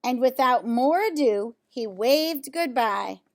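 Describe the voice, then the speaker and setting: A woman reading a children's picture book aloud, pausing for casual asides and questions to young listeners. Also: high-pitched, lively intonation. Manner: pauses to comment on pictures and ask listeners questions.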